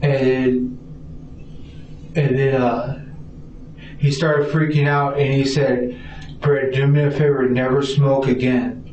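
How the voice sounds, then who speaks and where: A frightened, distressed man being interrogated as a suspect, giving a halting, rambling account and stammering over repeated words. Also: medium pitch, level tone, a little mellow. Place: indoor police interview room. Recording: roomy, distant, muffled, noisy interrogation recording.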